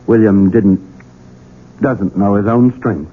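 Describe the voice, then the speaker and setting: An actor playing a coldly controlling heir in his thirties in a 1970s radio drama, taunting and deliberate, with insinuating menace. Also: on the low side. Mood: controlled, insistent. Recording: muffled, noisy 1970s radio recording.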